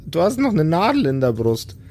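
A man's voice: a little high, wildly swooping pitch, normal speed, darkish tone, a little hiss or hum, pitched above the performer's own voice. A man voicing a flippant, curious female doctor in a tabletop game, talking in a casual, blunt, slightly hesitant way. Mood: alarmed, fussy.

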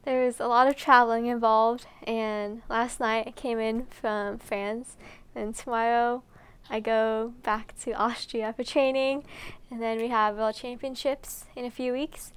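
Young woman, bubbly, giggly, smooth voice, slightly high pitch, casual interview. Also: flat delivery, measured pace. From United States. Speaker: climber.

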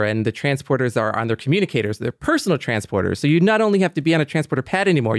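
A man co-hosting a podcast, chatting with enthusiasm and speeding up when he gets excited. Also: medium pitch, dry recording, clean studio sound. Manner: enthusiastic, fast when excited, frequent "mm-hmm". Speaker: podcast co-host. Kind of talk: casual chat.

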